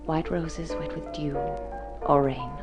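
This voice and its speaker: An actress in a vintage radio drama playing a worldly, flirtatious rich widow with an arch, knowing, teasing manner. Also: female voice, low-pitched, fairly even intonation, takes it slowly, over music. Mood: wistful, knowing. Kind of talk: golden age radio drama acting.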